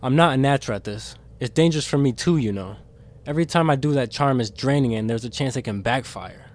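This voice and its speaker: A man's voice: mid-range voice, quick pace, lightly acted. A man playing a guarded, conscience-stricken demon in an audio drama, earnest and defensive in manner. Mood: discreet, earnest. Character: guarded, conscience-stricken demon insider smuggling secrets to captive.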